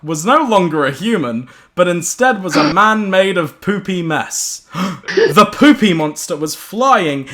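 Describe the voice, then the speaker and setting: A man's voice: sits high, a bit bright. A young man reading a silly horror story to friends, giggling and stumbling over the words as storyteller.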